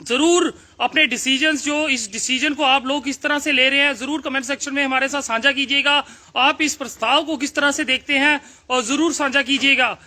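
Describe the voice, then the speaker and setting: Very high-pitched, bright newsman. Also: steady tone, moderate pace. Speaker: news presenter. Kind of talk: news report to viewers.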